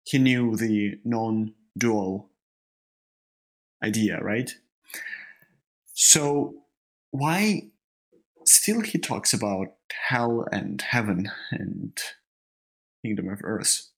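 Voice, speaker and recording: A man with a heavy Russian accent, a native Russian speaker, co-hosting a spiritual discussion podcast and often halting to repeat words as he searches for phrasing. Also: on the low side, lively intonation, low-quality recording. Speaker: podcast co-host.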